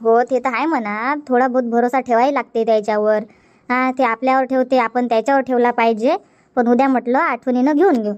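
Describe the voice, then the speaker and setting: A woman's voice: on the high side, steady tone, brisk, darkish tone, natural voice. A woman playing a shrewd, outspoken farmer's wife in a cartoon comedy, blunt and emphatic, with a Varhadi Marathi accent from Vidarbha. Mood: insistent. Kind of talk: dubbed cartoon comedy dialogue. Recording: dull lo-fi audio.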